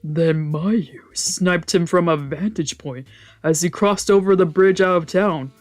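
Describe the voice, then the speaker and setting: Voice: medium pitch, a little slow, faint music behind. A streamer of a visual novel reading the text aloud and adding casual, wry asides.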